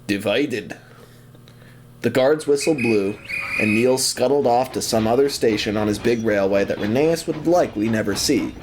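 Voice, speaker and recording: A man's voice: lowish voice, darkish tone, a little hiss or hum. A man narrating an audio drama as scripted storytelling.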